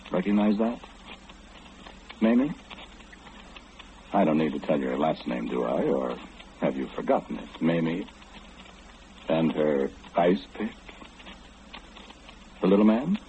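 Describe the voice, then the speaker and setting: Sly, deep-voiced all-knowing mind reader. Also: male voice, big pitch swings, unhurried, long pauses, heavily acted. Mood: sly, ominous. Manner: sly, insinuating, theatrical, speaking confidingly to the listener. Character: eerie all-knowing male mind reader, teasing and unflappable. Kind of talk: old-time radio horror acting. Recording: muffled, noisy old radio broadcast.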